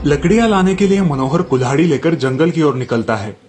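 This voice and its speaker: A man's voice: fairly high pitch, expressive, a little fast, darkish tone, soft background music. A man narrating a scripted moral tale for children as a storyteller.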